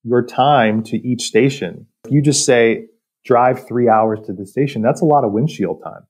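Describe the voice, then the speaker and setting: A man speaking as an expert podcast guest, explaining industrial work in a measured, technical way. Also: medium pitch, darkish tone, breathy edge. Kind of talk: explanatory interview talk about industry.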